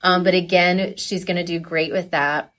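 A woman talking casually and enthusiastically as a coach being interviewed, chatty and quick to laugh. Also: lowish voice, fairly even intonation, fairly bright tone.

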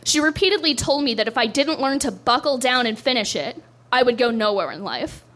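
A woman's voice: a little high, animated, a little fast, a bit bright. A young woman doing a stand-up set, telling a story in a dry, self-deprecating way on a club stage. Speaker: stand-up comedian.

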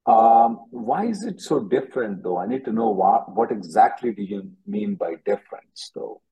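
A man's voice: on the low side, big pitch swings, normal speed, gravelly, dark tone, lo-fi sound. A man hosting an advisory call-in as an attorney, directive and given to repeating himself. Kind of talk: advisory call-in question and answer.

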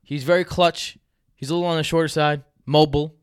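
A man's voice: a little high, fairly quick, smooth, dry recording, clean studio sound. A man, hosting a fantasy football podcast in loose, joking chat with his brother. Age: 30s. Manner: animated, laughs often, loose and joking.

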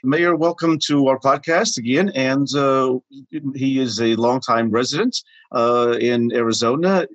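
A man hosting a conversational podcast, introducing his guest in a halting, deliberate way. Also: medium pitch, no echo, highs cut off. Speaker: podcast host.

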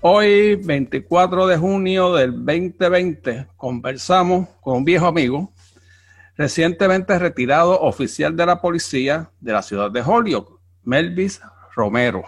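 A man's voice: fairly high pitch, animated, unhurried. A man hosting a podcast, giving a formal, announcer-like introduction and asking interview questions. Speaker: podcast host.

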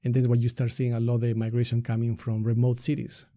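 A man's voice: medium pitch, completely flat delivery, fast, warm tone, no echo. A middle-aged man with an Ecuadorian Spanish accent, explaining things conversationally as an interview guest and often checking points with a tag question. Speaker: podcast guest, community activist and soccer coach.

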